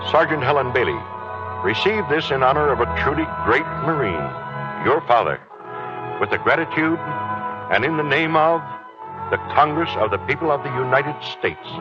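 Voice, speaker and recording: A man playing a solemn, formal military officer with stately, measured phrasing in a 1940s radio drama. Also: medium pitch, lively intonation, lightly acted. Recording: dull 1940s radio, loud music behind. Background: music.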